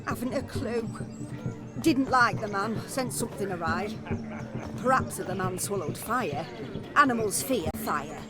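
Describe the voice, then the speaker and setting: A woman's voice: medium pitch, lively intonation, measured pace, close to an everyday voice. An actress playing a feisty, exasperated middle-aged village mother in a video game, earthy and blunt. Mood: explaining. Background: loud background music.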